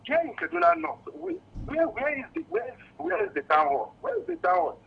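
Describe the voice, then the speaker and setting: A man's voice: extremely high pitch, wildly swooping pitch, a little fast, vocal fry. A Nigerian man with a Nigerian accent phoning a radio show to give his opinion, earnest and insistent, often repeating words as he goes. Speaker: radio phone-in caller. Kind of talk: spontaneous opinion on a call-in show. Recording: muffled, lo-fi phone call to radio.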